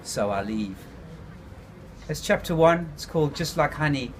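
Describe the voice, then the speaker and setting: A man reading a chapter of his own novel aloud, in slow, brooding first-person narration with a few restarts. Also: fairly high pitch, expressive, a little hiss or hum.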